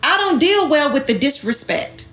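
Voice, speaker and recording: Woman w a really high voice preaching to her followers on a live, sassy n blunt, echoey room w faint music. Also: sing-song, normal speed, a bit bright. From Jamaican heritage. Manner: emphatic, sassy, confrontational, colloquial, with rhetorical questions. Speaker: online host and women's empowerment speaker. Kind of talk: impassioned livestream talk to followers.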